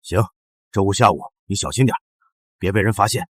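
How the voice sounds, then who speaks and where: A man playing a scornful, greedy but wary middle-aged father with a husky voice in a short drama. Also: fairly low pitch, a little fast, dry recording, clean studio sound.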